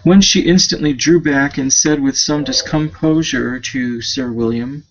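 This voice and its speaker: A man reading a classic novel aloud as an amateur, with a creaky voice and a halting delivery that stumbles over words. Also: mid-range voice, slight background noise. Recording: dull lo-fi home recording.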